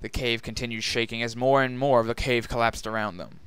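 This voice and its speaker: A man's voice: medium pitch, level tone, brisk, fairly bright tone, clean tone, no room sound. A man narrating a scripted story as a dramatic reading. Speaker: narrator and reader.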